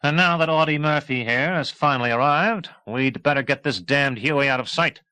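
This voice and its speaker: A man's voice: fairly high pitch, normal speed, bright, forceful tone, clean tone, no room sound, pitched above the performer's own voice. A man voicing a blustering, pompous, sarcastic mission boss in an audiobook novel. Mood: bossy. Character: blustering, sarcastic, self-important male mission boss, defensive.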